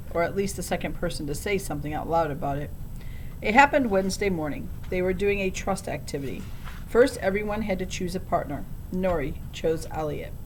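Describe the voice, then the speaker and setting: A woman reading a children's storybook aloud as narrator. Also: low-quality recording. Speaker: audiobook reader. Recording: low rumbling background noise.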